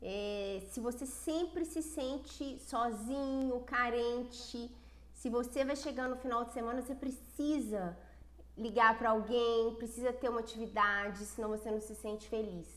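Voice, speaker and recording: A woman's voice: fairly high pitch, measured pace, fairly bright tone, reverberant. A Brazilian woman speaking as a self-esteem coach in a casual livestream, earnest and personal with frequent restarts, congested from a cold. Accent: Brazilian Portuguese.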